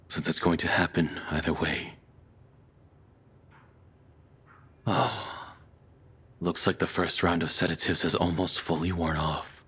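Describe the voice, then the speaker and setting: A man playing a deranged, lovesick cannibal captor in an intimate audio roleplay, speaking in a soft, hesitant, confessional way with quiet menace. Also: sits low, breathy edge. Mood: calm, threatening.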